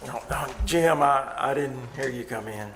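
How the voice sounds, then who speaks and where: A man's voice: medium pitch, moderate pace, a bit bright, natural voice. A man playing a weary, fatalistic faculty member in a radio thriller, hesitant and understated. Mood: distracted, resigned. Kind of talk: old-time radio thriller acting. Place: live staged performance at a winery. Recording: noisy live stage recording.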